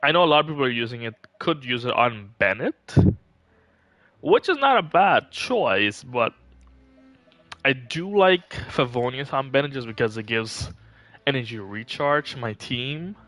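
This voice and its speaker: Slow-paced, bright-voiced man streaming a game. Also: medium pitch, very animated, no room sound. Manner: chatty, thinking aloud. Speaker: game livestreamer. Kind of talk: casual live gaming commentary. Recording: music playing behind. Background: music.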